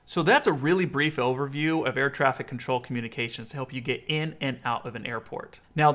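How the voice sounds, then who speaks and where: An American man in his thirties giving a clear instructional explainer as a video host. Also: fairly high pitch, fairly bright tone.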